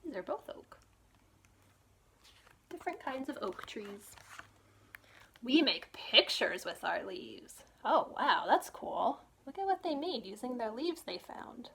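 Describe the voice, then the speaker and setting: A woman with a fairly high voice reads aloud as a children's librarian at storytime. Her intonation swings widely and expressively, and she takes her time over the phrases. She often addresses the young listeners directly with simple questions. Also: big pitch swings, takes it slowly, creaky, long pauses, fairly bright tone, slightly rough. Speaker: children's librarian reading a picture book aloud.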